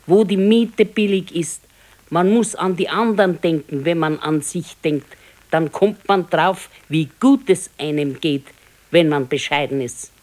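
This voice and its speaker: An actress playing a practical, modest old wife with a Bavarian accent, admonishing and matter-of-fact in a radio play. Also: female voice, on the low side, a little slow, darkish tone, natural voice. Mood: gently reproving. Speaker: radio drama actor. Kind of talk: 1970s naturalistic dialect radio play.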